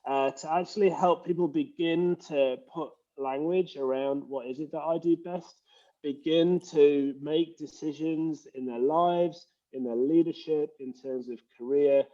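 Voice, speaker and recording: A man's voice: fairly high pitch, steady tone, measured pace, dark tone, lo-fi sound. A man with an English accent, a leadership coach explaining earnestly in a conversational interview over a video call. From England. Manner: earnest, explanatory, frequent uh fillers.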